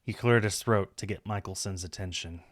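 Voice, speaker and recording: Low-pitched man narrating a story. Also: normal speed, dry recording, studio quality. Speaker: streamer reading a visual novel aloud.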